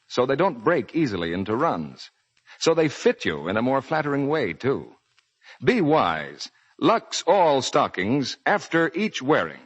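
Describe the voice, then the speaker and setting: A man's voice: a little high, husky. An American man announcing on a 1940s radio show, reading scripted copy in a formal, emphatic sales delivery. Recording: dull vintage 1940s radio broadcast.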